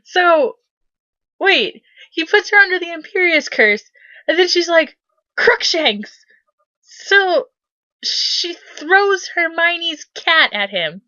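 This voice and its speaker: A woman's voice: very high voice, very animated, slow, sharp tone, no room sound, dull audio. A woman giving sarcastic, incredulous commentary as she hosts a dramatic reading of fan fiction. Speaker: host doing a dramatic reading. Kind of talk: mocking commentary on read-aloud fan fiction.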